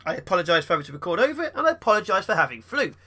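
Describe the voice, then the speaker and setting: A young man with the flu, sounding sniffly, giving excitable let's play commentary. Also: high voice, rapid-fire, breathy edge. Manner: excitable, mutters at enemy ships. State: sniffly with flu, sore throat. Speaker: gaming YouTuber.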